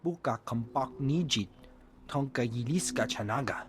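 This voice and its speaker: An actor voicing a male alien with a husky voice, speaking an invented language in a video game. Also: medium pitch, moderate pace, natural voice. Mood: businesslike.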